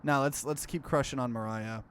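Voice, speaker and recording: A let's play host reading game narration aloud and reacting to it in an animated way. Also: medium pitch, normal speed, slight background noise. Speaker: gaming video host.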